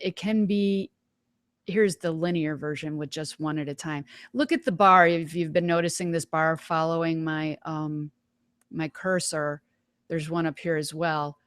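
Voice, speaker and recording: A woman hosting a webinar, giving a casual live software demonstration in an informal, folksy way, thinking aloud. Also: sits low, dry recording. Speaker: webinar host.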